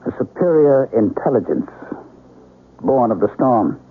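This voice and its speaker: An actor playing an eerily confident young father, calm and deliberate, in old-time radio drama style. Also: medium pitch, slightly rough. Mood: rapt conviction. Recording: vintage radio broadcast, narrow phone-like sound, faint noise.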